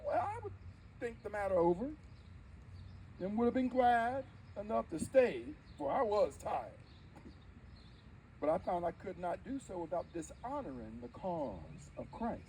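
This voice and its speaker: Actor as a dignified, high-pitched old-time preacher orator. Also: male voice, big pitch swings, darkish tone, a little hiss or hum, rough recording. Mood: earnest, proud. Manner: emphatic, deliberate storytelling with dramatic pauses. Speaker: live history interpreter. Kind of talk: theatrical first-person oratory before an audience.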